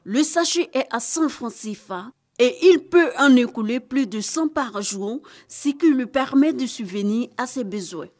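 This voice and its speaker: A woman giving scripted news narration as a reporter, in a measured, formal delivery. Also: fairly high pitch, lively intonation, darkish tone.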